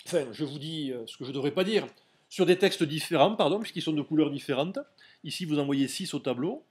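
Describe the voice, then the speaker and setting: A man's voice: fairly high pitch, expressive, brisk, darkish tone. A man giving a seminar lecture, explaining step by step and putting questions to his audience. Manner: didactic, addresses audience directly with questions.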